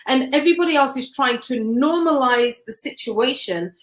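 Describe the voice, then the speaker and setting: A woman's voice: high voice, expressive, normal speed. Earnest reflective woman guest. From Cameroon. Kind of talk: interview.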